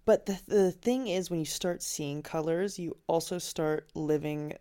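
Podcast host, woman, low register, casual and reflective solo talk, filler-heavy and unscripted. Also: measured pace, warm tone. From Finland. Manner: candid and reflective, with frequent "like" and "um" fillers. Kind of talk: casual personal monologue.

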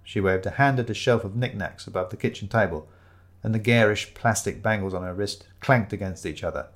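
A man narrates a scripted story in the first person. His voice sits on the low side, and his delivery is wry and dryly humorous. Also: brisk. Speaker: audiobook narrator.

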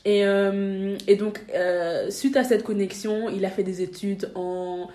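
A woman's voice: medium pitch, steady tone, slow, mellow, roomy sound. A young woman from Belgium, a podcast guest talking casually, hesitating with many fillers and restarts. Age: young adult. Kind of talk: casual interview.

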